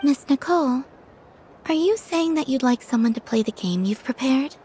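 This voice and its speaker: A woman voicing a gentle, serene, curious young moon goddess in an anime game, soft-spoken, polite and understated. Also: high voice, lively intonation, moderate pace, slight background noise. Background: music.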